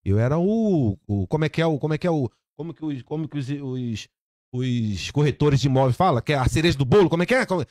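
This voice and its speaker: Animated Brazilian man telling a story. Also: quick pace, no echo, clean studio sound. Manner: lively, repeats words, acts out quoted dialogue with big pitch jumps. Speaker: podcast guest, barber. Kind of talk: animated storytelling in casual chat.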